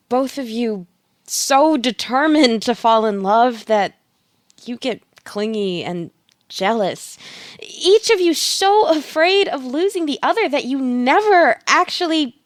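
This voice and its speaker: Slow-spoken female tarot reader played by a woman. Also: a little high, no echo, clean studio sound. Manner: measured, pronouncing readings slowly. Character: deliberate, knowing female tarot reader, Madame Zed. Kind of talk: audio play acting.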